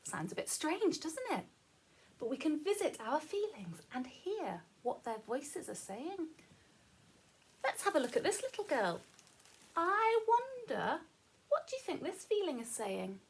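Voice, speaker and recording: A woman's voice: sits high, big pitch swings, unhurried. A woman reading a story aloud to young children, playful and encouraging, often putting questions to the listener. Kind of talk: animated read-aloud with commentary for young children.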